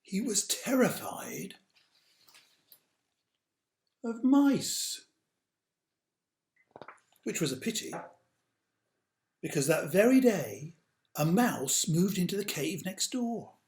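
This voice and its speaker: A man with a fairly high voice tells a children's story. He is unhurried and very animated, leaning on key words and dropping into hushed asides. The room has a clear echo. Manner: dramatic, drawn-out emphasis with hushed asides to young listeners. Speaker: author reading aloud own picture book. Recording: roomy, echoey sound.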